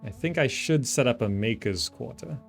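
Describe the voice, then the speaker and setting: A man's voice: medium pitch, expressive, normal speed, vocal fry, faint music behind. A man giving casual gameplay commentary, thinking aloud as he plays. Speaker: gaming video host.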